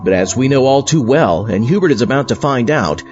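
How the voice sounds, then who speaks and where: A man's voice: mid-range voice, animated, brisk, darkish tone, highs cut off, soft background music, close to an everyday voice. A man playing an exasperated party host in a scripted comedy horror audio show. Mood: showmanlike.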